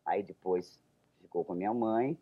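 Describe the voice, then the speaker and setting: A woman's voice: rumbling low, normal speed, slightly rough. Older São Paulo woman with an Italian-tinged accent. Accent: São Paulo Italian-immigrant accent. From São Paulo, Brazil. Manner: emphatic, repeats herself, chuckles. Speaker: guest. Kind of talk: interview answers. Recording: narrow, phone-like 1990s TV audio.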